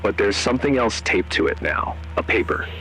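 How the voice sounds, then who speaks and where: A man narrates horror in a measured, suspenseful way. His sentences come short and clipped, addressed straight to the listener. Faint music runs beneath him. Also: fairly low pitch, lively intonation, sharp tone, rough recording. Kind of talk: scripted second-person horror narration.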